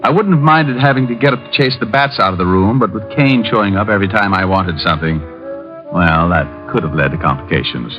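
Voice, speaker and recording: An actor playing a cocky, wry and flirtatious private detective in 1940s radio style. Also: male voice, lowish voice, brisk, natural voice. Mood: wry narration. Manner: wry, breezy, teasing. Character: Richard Diamond, wisecracking flirtatious private detective, cocky and easygoing. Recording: dull old radio recording with music.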